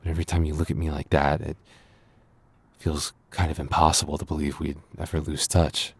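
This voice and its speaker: A man's voice: rumbling low, level tone, fairly quick, husky, full character voice. A man playing a flustered, lovestruck young man in a boyfriend roleplay audio, stammering and bashful. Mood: wistful, anxious. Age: young adult. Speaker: voice actor.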